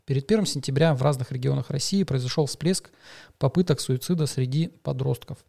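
A man's voice: medium pitch, fairly quick, creaky, dark tone. A man, a father of a young schoolgirl, co-hosting a casual astrology podcast, reading news and talking with hesitant fillers and restarts.

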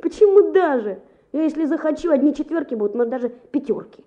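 A cocky schoolboy, bragging as he narrates a radio play. The voice is on the high side and the recording is dull. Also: animated, quick pace, dark tone. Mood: offended, bragging. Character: cocky, boastful schoolboy telling his own story. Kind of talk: Soviet radio play, first-person narration.

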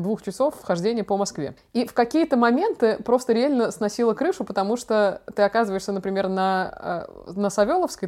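A young woman talks very quickly in a mid-range voice. She hosts a casual conversation, telling stories in an expressive way with plenty of slang. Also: mid-range voice. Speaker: podcast host. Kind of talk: casual chat, personal storytelling.

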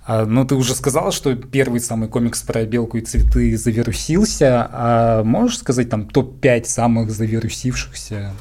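Female interviewer, lowish voice, casual conversational questioning. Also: moderate pace, hardly any pauses, warm tone.

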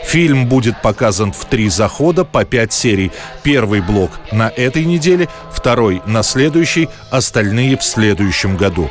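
A man's voice: fairly low pitch, lively intonation, normal speed. A man reading scripted documentary narration as the narrator of a history programme. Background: faint background music.

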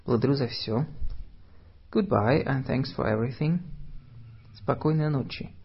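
A man slowly reading phrasebook sentences in Russian and English, one by one, for learners. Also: mid-range voice, gravelly, husky, muffled recording. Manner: slow, deliberate phrase-by-phrase reading. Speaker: language teacher.

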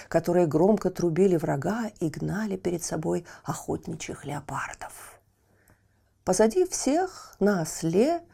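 A woman reading a children's fairy tale as an expressive storyteller. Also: mid-range voice, gravelly.

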